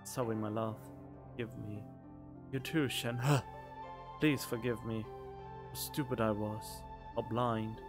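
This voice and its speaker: A man's voice: on the low side, fairly even intonation, slow, long pauses, music in the background. Dramatic, grief-stricken husband played by a man. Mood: remorseful. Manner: dramatic read-aloud with loud outbursts and hushed moments. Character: grief-stricken, desperate husband and father, pleading. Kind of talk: theatrical reading of game text.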